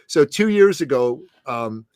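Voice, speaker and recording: A man's voice: mid-range voice, sing-song, measured pace, a little mellow, dry recording. A man in his late fifties, a success coach, talking in a podcast interview in an emphatic, punchy way. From Middle East. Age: 50s. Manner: emphatic, punchy, calls host "brother" and "man".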